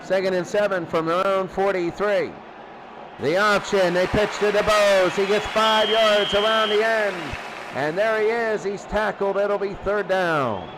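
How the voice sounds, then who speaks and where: A man doing excitable live football play-by-play in a radio style, with conversational asides between plays. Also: high voice, a little slow, crowd noise, background noise. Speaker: play-by-play commentator of a simulated football tournament.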